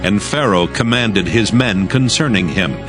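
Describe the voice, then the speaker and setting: A man narrating scripture as a scripted dramatized audio reading, in a solemn, measured storytelling manner. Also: on the low side, expressive. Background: music.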